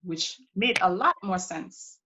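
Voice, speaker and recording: A woman playing a proud, determined pioneering congresswoman from Brooklyn, answering questions in character with deliberate, reflective phrasing broken by pauses. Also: on the low side, expressive, bright, forceful tone, exaggerated voice, rough recording. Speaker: historical reenactor taking audience questions.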